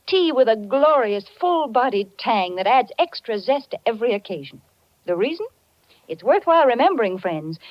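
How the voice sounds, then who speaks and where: An American woman pitches like a cheery 1940s radio announcer. Her voice is high and very animated, in a dry but muffled old recording. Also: dry recording. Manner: cheery, gushing. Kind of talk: scripted 1940s radio advertising.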